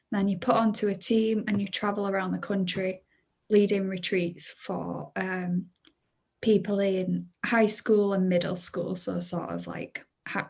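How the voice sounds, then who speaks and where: A woman, telling her story as an interview guest. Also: mid-range voice. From Leeds, England. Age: 20s. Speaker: guest, charity youth worker. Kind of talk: interview answers.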